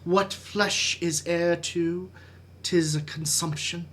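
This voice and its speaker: A brooding, anguished young prince acted out by a poetry reader delivers a stage soliloquy very slowly. The delivery is theatrical and halting, swelling and fading around a middling pitch. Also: fairly even intonation, a bit bright, slight background noise. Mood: brooding, hushed.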